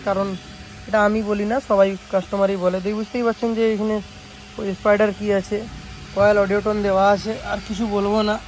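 High-pitched, fast-talking West Bengal man. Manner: repetitive, emphatic sales talk with frequent check-in tags. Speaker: speaker repair shop owner and video host. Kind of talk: casual product showcase vlog. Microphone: phone camera. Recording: noisy, engine-like hum and hiss.

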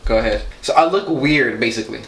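A man's voice: mid-range voice, quick pace, creaky, fairly bright tone, roomy sound, slight background noise. A young man in his twenties teasing his girlfriend in casual playful banter, filmed close by a camera in a small room. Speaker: vlogger.

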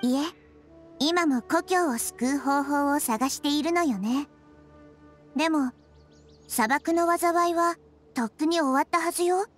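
A woman voicing a wise, composed, gentle childlike girl deity in an anime-style game, speaking with quiet assurance and curiosity. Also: high voice, a little slow, long pauses, faint music behind. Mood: gentle, thoughtful. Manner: composed, assured, inquisitive, feminine phrasing. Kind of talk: Japanese anime-style game dub acting.

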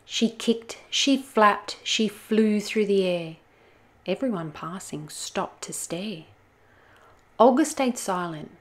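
A woman reading a children's storybook aloud as host, in an expressive style. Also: medium pitch, takes it slowly. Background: soft music.

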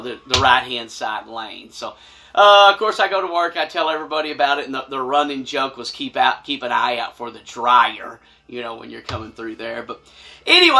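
A middle-aged man tells a personal story casually to camera in an animated, folksy, colloquial way. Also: a little high. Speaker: storyteller, vlog host. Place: small wood-panelled room indoors.

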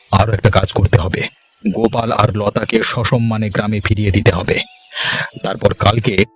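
A man's voice: fairly low pitch, husky. A man voicing a stern, authoritative village police officer in a cartoon. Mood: stern, threatening. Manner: authoritative, giving orders. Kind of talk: cartoon voice acting.

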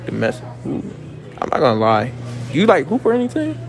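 Lively young man teasing strangers. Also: fairly high pitch, brisk. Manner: joking, teasing, slangy, laughs often. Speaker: street interviewer and host. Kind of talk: casual street interview.

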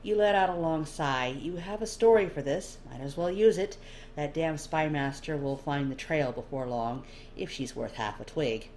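A woman's voice: sits low, normal speed, a little mellow. A woman narrating a scripted second-person story expressively as an amateur audiobook reader. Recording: faint background noise.

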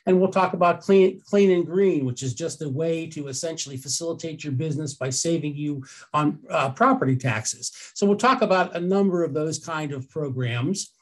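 A man's voice: on the high side, normal speed. Older American man presenting a webinar. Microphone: headset.

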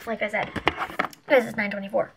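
A woman's voice: mid-range voice, level tone, fairly quick, creaky, very noisy. A teenage girl with a stuffy nose, chatting casually and rambling to her webcam in a small bedroom, sometimes dropping to a whisper. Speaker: vlogger. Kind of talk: casual vlog chat. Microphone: webcam on a desk lamp.

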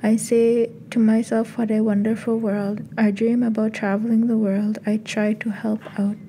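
A woman's voice: on the high side, level tone, moderate pace, mellow, slight background noise, low-quality recording. A woman reading a simple, repetitive poem aloud. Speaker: poem reader.

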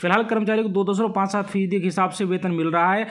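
A man's voice: high voice, fairly even intonation, quick pace. A man presenting scripted news narration for a channel, with an emphatic, announcing delivery.

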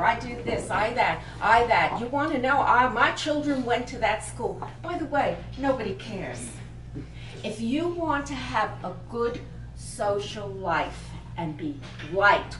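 Brooklyn-accented older woman teaching a workshop. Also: on the high side. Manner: emphatic, punchy lists, frequent laughs, direct questions to audience. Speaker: image consultant presenting a workshop. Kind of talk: lively workshop talk to a small group. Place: boutique shop floor, indoors. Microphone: far camera, no visible mic. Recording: roomy, distant camera audio with background noise.

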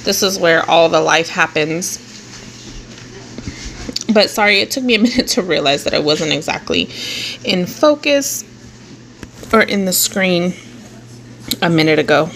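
Young woman vlogger, low gravelly voice, chatty while cleaning, faint room hiss. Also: expressive, unhurried, pauses often, fairly bright tone, poor audio. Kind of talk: casual clean-with-me narration. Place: small home bathroom.

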